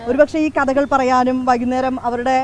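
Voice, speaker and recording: A woman's voice: high-pitched, little pitch movement, normal speed. A woman, a TV reporter casually interviewing people outdoors. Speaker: TV news reporter. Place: outdoors under a shade tree.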